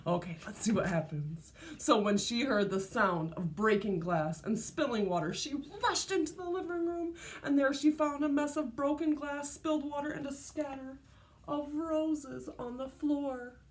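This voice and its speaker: A woman reading a storybook aloud to young children like a teacher, expressive and deliberate, prompting her listeners. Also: high-pitched.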